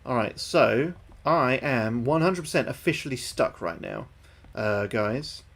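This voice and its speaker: A man's voice: medium pitch, very animated, measured pace, vocal fry, a bit bright. A young man livestreaming a game, thinking aloud to his chat, sweary and repeating himself. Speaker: livestreaming gamer. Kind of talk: casual gameplay commentary to chat.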